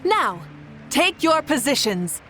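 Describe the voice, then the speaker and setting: A woman playing a commanding, inspiring leader and tournament host in a video game, measured and authoritative. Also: high-pitched, sing-song, fairly bright tone, full character voice. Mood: composed. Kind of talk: dramatic game voice acting. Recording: music behind the voice. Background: game music.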